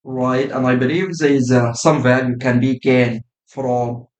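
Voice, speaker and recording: A man in his thirties from Sudan, a guest on a podcast, speaking in a measured way and often adding "right?" as he talks. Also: mid-range voice, fairly even intonation, echoey room. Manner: measured, with frequent "uh" and "right?" tags. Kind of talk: casual podcast conversation.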